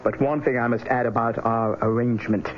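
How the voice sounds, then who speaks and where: An actor in a radio drama playing an ominous fortune teller driving a bargain, measured, formal and warning. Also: male voice, mid-range voice, fairly even intonation, close to an everyday voice. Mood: ominous, insistent. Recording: dull, noisy, humming radio recording.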